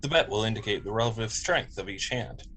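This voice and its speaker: A man's voice: fairly low pitch, lively intonation, fast talker, bright, forceful tone, a little hiss or hum. A man playing a logical, naive android officer with precise, formal wording in an amateur sci-fi script reading. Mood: matter-of-fact. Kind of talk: amateur table read of TV script.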